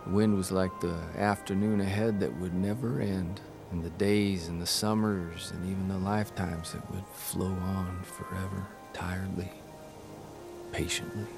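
An actor playing a wistful writer in an audio drama, reading in a measured, contemplative way. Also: male voice, low voice, fairly even intonation, gravelly. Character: wistful writer son, reflective Midwestern farm boy grown up. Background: music.

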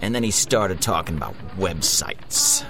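A breezy talent agent in an audio comedy. His voice is lowish but leaps wildly as he pitches like a salesman. Music plays behind him. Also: huge pitch swings, measured pace, vocal fry, heavily acted. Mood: breezy pitching. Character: breezy show-business agent and publicist. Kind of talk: mockumentary interview in a comedic audio drama.

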